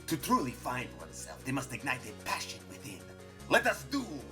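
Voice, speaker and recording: A man's voice: mid-range voice, huge pitch swings, moderate pace, over music, voice pushed up. A man voicing a flamboyant, theatrical dancing monster who challenges in grandiose, showy exclamations, in a dramatic story reading. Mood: flamboyant, challenging.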